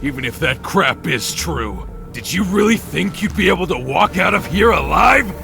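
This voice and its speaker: An actor playing an arrogant, hot-tempered company boss, dismissive and commanding, in a video game cutscene. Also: male voice, fairly high pitch, expressive, moderate pace, creaky, music in the background. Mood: angry.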